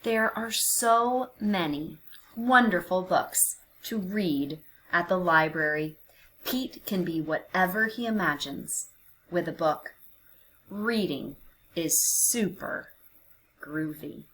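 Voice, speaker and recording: A woman narrating a picture book for young children, expressive and deliberate, with dramatic emphasis and hushed phrases. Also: fairly low pitch, low-quality recording. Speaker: children's read-aloud narrator.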